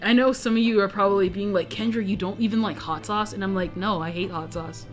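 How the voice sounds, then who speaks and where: A woman's voice: mid-range voice, fairly even intonation, fairly quick, soft background music. A young woman streamer chatting casually, rambling, self-interrupting and often giggling. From United States. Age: young adult.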